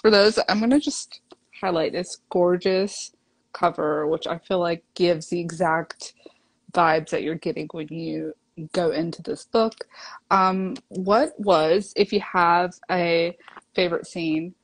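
A woman's voice: on the low side, steady tone, slow pace, rough recording. Friendly American woman hosting an interview. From Southern US. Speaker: interviewer host. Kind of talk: casual interview.

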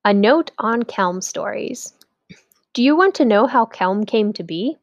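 A woman's voice: fairly high pitch, lively intonation, measured pace, dry recording, dull audio. A woman reading a children's story aloud as a storyteller, in scripted narration.

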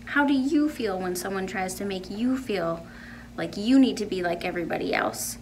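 A woman in her thirties reading to children in a measured, deliberate storytelling delivery. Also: mid-range voice, a little mellow, low-quality recording. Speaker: storytime reader and art teacher. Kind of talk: picture book read-aloud for children. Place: indoor room with painted wall. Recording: faint room background noise.

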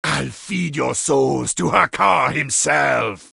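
An actor playing menacing, bloodthirsty male trolls with a raspy voice in a fantasy video game. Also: medium pitch, slow pace, bright, forceful tone, dry recording, studio quality, exaggerated voice. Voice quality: raspy, gravelly.

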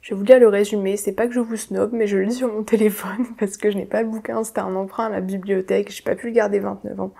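A young woman chats casually about books she has read, her voice mellow and relaxed. She talks to the camera at home, hedging and restarting with plenty of fillers. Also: mid-range voice, quick pace, vocal fry, few pauses. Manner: chatty, self-deprecating, many fillers and restarts. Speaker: book vlogger. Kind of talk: casual book review talk. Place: home room, indoors.

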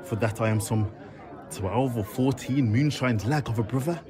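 A defiant, resentful bastard son played by a man declaims old stage verse indignantly. His medium-pitched voice sits among busy crowd chatter. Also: normal speed, lightly acted. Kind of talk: Jacobean stage soliloquy in audio drama.

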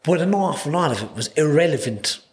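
A man's voice: a little high, expressive, fairly quick. Chatty Cork Irish man telling stories. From Ireland. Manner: rambling storyteller, many restarts and repeated words. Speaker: podcast guest, singer-songwriter. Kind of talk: casual chat. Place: small podcast studio indoors. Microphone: desk mic on boom arm.

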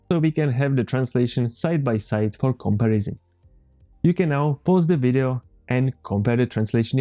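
A man in his thirties with a Czech accent, calmly walking viewers through a computer tutorial step by step as the channel's host. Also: mid-range voice. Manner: calm, methodical step-by-step explaining. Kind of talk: screen-recorded software tutorial. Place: home room, indoors.

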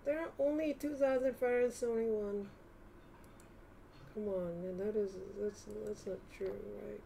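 A woman's voice: mid-range voice, steady tone, a little slow, warm tone, slight background noise. A woman vlogger thinking aloud casually in a rambling, hesitant way as she browses on screen.

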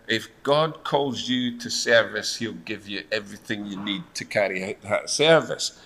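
A man's voice: on the low side, moderate pace, a bit bright, a little hiss or hum. A man in his fifties preaching in a conversational storytelling style with wry asides and rhetorical questions. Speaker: church preacher. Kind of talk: sermon with storytelling and acted-out dialogue. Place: church hall, speaking to a seated congregation. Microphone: lectern microphone and lapel mic.

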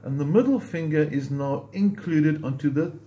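A man's voice: on the high side, moderate pace. A man teaching ukulele chords step by step in a patient, methodical way, recorded in a home music room with the camera close on his instrument. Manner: patient, methodical, explains while playing.

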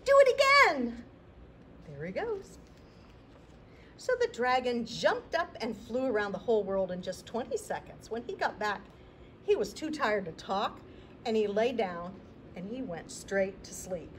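A middle-aged woman hosting a library storytime, reading a picture book aloud to children in an expressive, deliberate way. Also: medium pitch, a little hiss or hum. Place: indoor library room.

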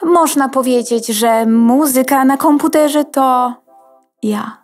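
A woman's voice: sits high, takes it slowly, a little mellow. A woman playing a haughty, theatrical sound card, a fussy music diva in a children's audio drama, pompous and condescending. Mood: grandly condescending.

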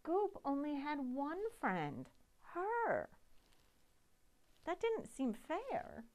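Animated storytime woman, slow and high-pitched. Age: middle-aged. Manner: dramatic, deliberate phrasing for children. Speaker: children's librarian reading a picture book. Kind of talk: expressive storytime read-aloud.

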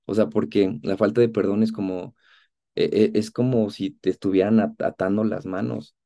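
A man of about thirty with a Mexican accent, giving an earnest, conversational personal testimony in a halting way over a video call. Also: fairly low pitch, steady tone, creaky, dark tone. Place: indoor room at home, on a video call.

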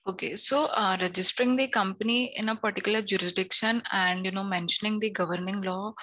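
A woman's voice: mid-range voice, fairly even intonation, brisk, bright, forceful tone, low-quality recording. A young woman from India on a headset video call, explaining law hesitantly with many fillers. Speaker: legal analyst guest.

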